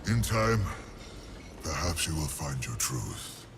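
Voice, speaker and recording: An actor playing an ancient, menacing undead lord in a video game, speaking in a cryptic, ominous, theatrical manner. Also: male voice, sing-song, takes it slowly, background noise, exaggerated voice. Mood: ominous, commanding. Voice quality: very deep, gravelly.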